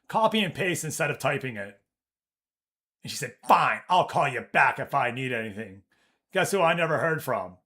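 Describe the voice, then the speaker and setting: A man streaming a live game session, chatting casually and telling a work story with swearing and mimicked voices. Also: normal speed. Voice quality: deep voice. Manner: animated storytelling, swears, reads chat aloud. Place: office.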